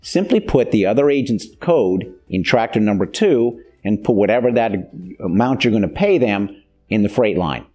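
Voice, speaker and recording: A man's voice: fairly low pitch, animated, moderate pace, a little mellow, faint music behind. An American man in his fifties giving a plain-spoken, instructional explanation as the host of a training video. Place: indoor video studio.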